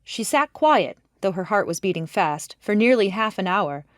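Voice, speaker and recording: A woman's voice: medium pitch, animated, moderate pace, no echo, clean studio sound. A woman reading scripted literary narration as an audiobook narrator.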